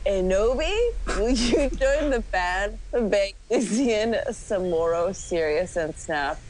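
Woman reading theatrically, slow and swooping. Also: mid-range voice, lively intonation, darkish tone, a little hiss or hum, poor audio. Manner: giggly, often breaking into laughter. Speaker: podcast host doing a dramatic reading. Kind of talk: comic dramatic reading of fan fiction.

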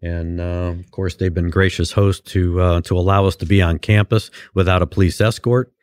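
A man with a very low voice co-hosts a podcast from a studio, friendly and a bit rambling, filling gaps with "uh". The sound is close and dry. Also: fairly even intonation, normal speed, darkish tone, smooth, no room sound. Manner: genial and rambling, with many "uh" fillers. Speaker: podcast co-host. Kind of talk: casual podcast chat. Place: university radio studio.

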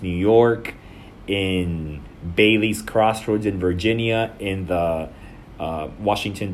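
A man from El Salvador answers questions in a measured, businesslike way, with some restarts. His voice sits low. A faint background hiss runs under his speech. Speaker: marketing director. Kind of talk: interview answers.

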